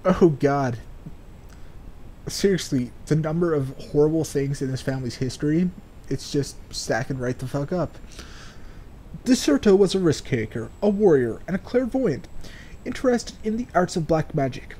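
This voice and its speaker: Mellow, slightly high-voiced sardonic man, noisy recording. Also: lively intonation, moderate pace. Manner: sardonic, swears freely. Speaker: let's player. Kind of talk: casual game commentary mixed with reading in-game text aloud.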